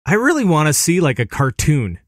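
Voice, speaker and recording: Male podcast host, slightly high voice, relaxed and hesitant, dry sound. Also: a little fast, dry recording. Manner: easygoing, laughs often, hesitant fillers. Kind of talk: casual podcast chat.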